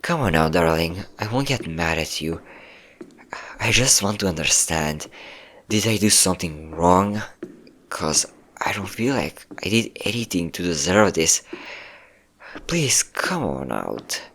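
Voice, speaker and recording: A man's voice: deep voice, expressive, unhurried, long pauses, a bit bright, slight background noise, heavily acted. A man playing a possessive, manipulative yandere ex-boyfriend in a scripted audio roleplay, speaking in a coaxing, menacing way. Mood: pleading, menacing. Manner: coaxing, menacing, calling listener pet names, nervous chuckles. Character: possessive, manipulative yandere ex-boyfriend hunting his hiding lover.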